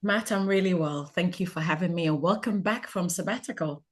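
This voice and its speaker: A middle-aged woman from Ghana, speaking calmly and thoughtfully about her life in an interview. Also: lowish voice. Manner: calm, measured, thoughtful. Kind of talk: reflective personal interview.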